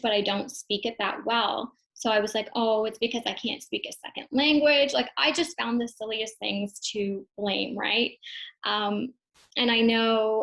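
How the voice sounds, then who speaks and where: A woman's voice: on the high side, creaky, fairly bright tone, low-quality recording. Reflective young woman telling her story. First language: English. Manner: casual, reflective, many fillers and restarts. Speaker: online business owner sharing her story. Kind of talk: personal testimonial talk.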